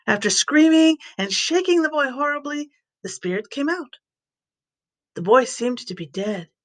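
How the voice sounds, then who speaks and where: A woman reading scripture aloud as scripted narration, with expressive storytelling delivery. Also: sits high, measured pace. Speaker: scripture reader.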